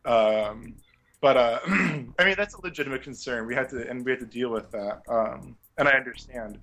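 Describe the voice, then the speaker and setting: A young man, once a music teacher, answers interview questions in a relaxed, reflective way, often pausing with fillers and restarting his sentences. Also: mid-range voice. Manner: reflective, many fillers and restarts, laughs lightly. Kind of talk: casual conversational interview.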